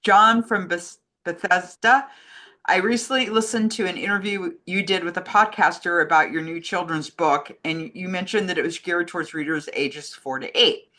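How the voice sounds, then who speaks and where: Female co-host for listener questions, bright, forward voice at a middling-to-low pitch, informal conversational delivery. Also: normal speed. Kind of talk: casual film chat.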